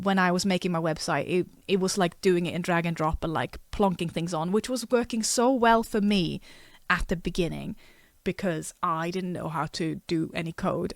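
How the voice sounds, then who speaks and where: A woman's voice: on the low side, dry recording. Non-native english lady reading stuff off a site, trips on words, chatty side comments, dead dry sound. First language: not English. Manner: stumbles over words while reading, chatty and frank. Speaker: artist and video creator. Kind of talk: reading a web page aloud with casual asides.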